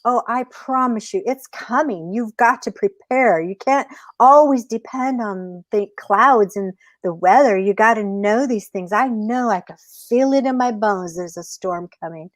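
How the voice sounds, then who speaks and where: A woman's voice: mid-range voice, a little slow, no echo, close to an everyday voice. A woman voicing a sly male trickster rabbit who pleads and persuades in a storytelling. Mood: persuasive.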